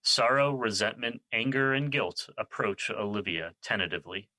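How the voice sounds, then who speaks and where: A man's voice: on the low side, moderate pace, pushed and bright, no echo, rough recording. A man playing the narrator of an audio drama, reading scripted stage directions. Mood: neutral narration.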